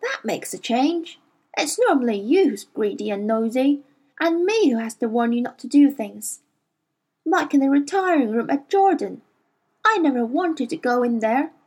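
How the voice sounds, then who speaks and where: A woman's voice: high voice, expressive, normal speed, darkish tone, husky. A woman reading an audiobook, voicing a cautious, teasing male animal companion that changes shape.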